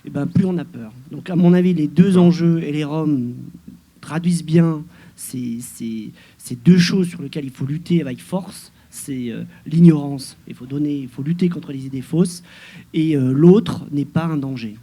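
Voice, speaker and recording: A man's voice: fairly high pitch, normal speed, warm tone. A man speaking on a debate panel in a conversational way, using plain everyday words.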